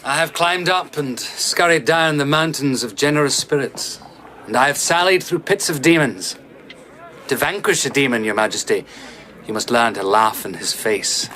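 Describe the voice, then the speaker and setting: A man's voice: mid-range voice, normal speed, pushed and bright, natural voice. An actor playing a sly, theatrical male vagabond storyteller in a radio drama. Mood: grand, sly. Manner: grand, playful storyteller's delivery. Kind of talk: BBC radio drama acting.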